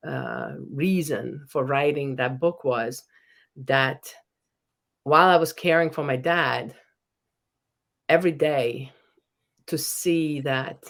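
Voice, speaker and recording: A middle-aged woman from Hungary speaks with a Hungarian accent and a voice that sits low for a woman. She is a podcast guest sharing reflections in an earnest way. She often restarts her sentences as she searches for words. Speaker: guest, professor and author. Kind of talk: podcast interview.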